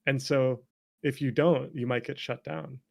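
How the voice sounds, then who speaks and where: A man speaking as a game developer guest in a casual conversation, candid and animated, and quick to laugh. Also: mid-range voice, gravelly.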